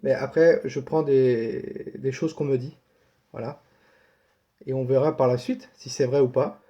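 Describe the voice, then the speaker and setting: A man hosts a casual football news update in a mellow, relaxed voice. He sounds like he has a cold and talks colloquially, often repeating a point to drive it home. The recording is dull and lo-fi. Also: medium pitch, measured pace. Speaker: host of a football transfer news channel.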